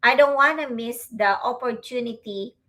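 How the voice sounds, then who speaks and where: A middle-aged woman with a Filipino accent hosting a livestream, talking to viewers in a reflective, halting way. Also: fairly high pitch, a bit bright. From Philippines. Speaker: host, online business owner.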